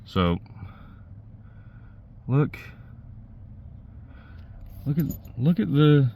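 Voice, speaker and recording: A man's voice: on the high side, big pitch swings, drawn-out words, narrow, tinny audio, slight background noise. A man giving a casual, unscripted DIY walkthrough, talking plainly and pointing things out as he works. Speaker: DIY vlogger demonstrating a truck CB radio install.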